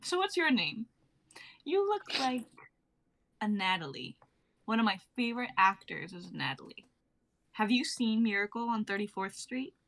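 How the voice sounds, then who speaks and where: A woman's voice: mid-range voice, sing-song, moderate pace, creaky, pauses often, a bit bright. A young woman playing a bubbly, teasing twenty-three-year-old wife from 1950 in a dramatic reading, breezy and old-fashioned in her phrasing. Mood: playful, warm. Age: young adult.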